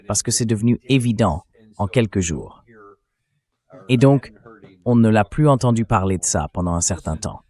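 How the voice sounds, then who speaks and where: A man's voice: fairly low pitch, a little mellow. A man's synthetic AI voice dubbing an interview host in a translated political interview.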